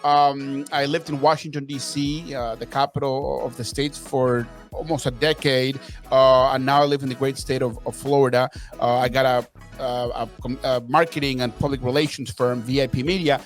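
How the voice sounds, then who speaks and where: A man's voice: medium pitch, fairly even intonation, moderate pace, faint music behind. A man in his thirties from Venezuela, talking as a podcast guest in an emphatic, upbeat, motivational way. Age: 30s. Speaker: podcast guest, marketing consultant. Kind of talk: casual interview talk.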